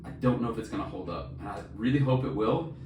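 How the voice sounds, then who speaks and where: A man's voice: lowish voice, fast talker, a little mellow. Enthusiastic man in a roomy, distant recording. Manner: enthusiastic, slangy, emphatic. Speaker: podcast co-host. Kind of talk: casual chat about books. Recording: roomy echo, distant mic, faint noise.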